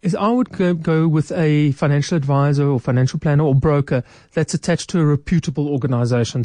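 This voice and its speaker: A woman's voice: low-pitched, dark tone, dry recording. A woman, a financial adviser guest in a radio studio, explaining retirement saving step by step in a practical way. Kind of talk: radio studio advice talk.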